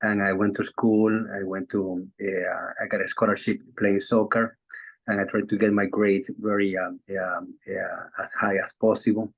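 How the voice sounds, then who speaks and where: Low, flat-voiced man from Venezuela. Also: normal speed, creaky, low-quality recording. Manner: hesitant, many fillers and restarts. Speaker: chiropractor. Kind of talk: casual video call chat. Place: Florida.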